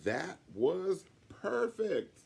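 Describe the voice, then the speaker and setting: A man's voice: sits high, big pitch swings, very slow, creaky, a little mellow, slightly rough, pitched above the performer's own voice, heavily acted. A man voicing a motherly, encouraging female owl schoolteacher in a storybook. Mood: delighted. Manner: kindly, reassuring.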